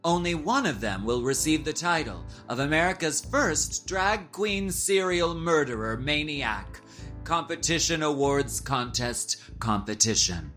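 A man's voice: on the high side, expressive, a little slow, pushed and bright. A man playing a pompous, ratings-obsessed drag competition host in a campy comic radio play, announcing with grand melodrama. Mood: pompous, dramatic. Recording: music under the voice.

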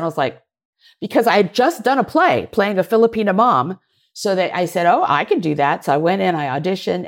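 A woman actor being interviewed, telling lively stories, often laughing and briefly acting out voices. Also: lowish voice. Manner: animated, laughing, acts out voices and gestures. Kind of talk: conversational interview storytelling.

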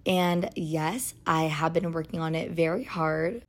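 A young American woman with a slightly raspy voice, hosting a chatty solo podcast in a girly, gushing way with emphatic slang. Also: sits low, fairly even intonation, measured pace. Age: young adult. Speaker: podcast host.

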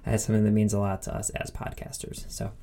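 A man's voice: fairly low pitch, completely flat delivery, fast, mellow. A man speaking casually and enthusiastically as a podcast host. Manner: enthusiastic, informal. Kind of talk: casual podcast intro and conversational interview.

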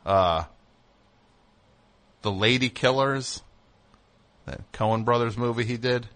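A man hosting a radio comedy show with a bright, forceful voice. He rambles excitably, swinging from high, animated bursts down to low mutters. It is a loose, joking phone chat. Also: slow. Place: radio studio.

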